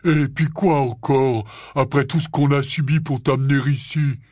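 A man voicing a gruff, protective giant of a groundskeeper who growls his words slowly and heavily in an audiobook. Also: mid-range voice, steady tone, darkish tone, no echo, clean studio sound, pitched above the performer's own voice, natural voice. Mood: gruff, insistent. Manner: growling, slow and heavy. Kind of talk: audiobook character reading.

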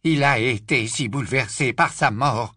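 A man voicing a solemn, offended old wizard who speaks slowly in a cold, wheezing, formal tone. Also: high voice, big pitch swings, a bit bright, no echo, clean studio sound, pitched above the performer's own voice, exaggerated voice. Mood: stiff.

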